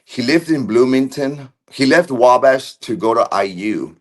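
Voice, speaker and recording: A man of Argentine background, a guest on a video call, recalling events slowly with many fillers, restarts and pauses. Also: mid-range voice, lively intonation. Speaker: interview guest. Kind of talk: casual recollection.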